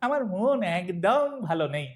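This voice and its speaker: A woman's voice: medium pitch, big pitch swings, normal speed, lightly acted. A woman playing a lovesick, whining old husband in a comic audio drama, dreamy and plaintive as he protests.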